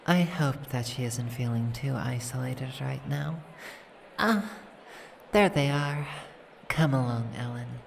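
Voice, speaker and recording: A woman acts out a regal, reserved female dragon for an ASMR roleplay. Her voice is extremely low and creaky, and she speaks slowly, formally and guardedly. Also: a little hiss or hum, exaggerated voice. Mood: composed, gracious.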